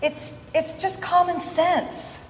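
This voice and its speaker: A woman's voice: very high-pitched, steady tone, slow, slight background noise. A woman acting a practical, skeptical sweetheart in an old-time radio play. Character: practical, skeptical woman, fond but exasperated. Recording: echoey hall, stage radio play.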